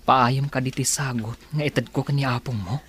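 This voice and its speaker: A man's voice: medium pitch, fairly even intonation, moderate pace, a bit bright, slight background noise, close to an everyday voice. An actor with an Ilocano accent playing a secretive, evasive young businessman, earnest and placating, in a radio drama. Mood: firm, reassuring.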